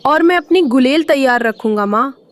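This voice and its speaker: A woman's voice: high-pitched, expressive, moderate pace. A woman voicing an eager little male chick helping his mother. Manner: eager, childlike.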